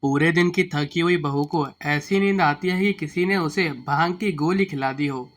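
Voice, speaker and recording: A man's voice: on the high side, moderate pace. A man narrating a scripted moral bedtime story. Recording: music bed under the voice.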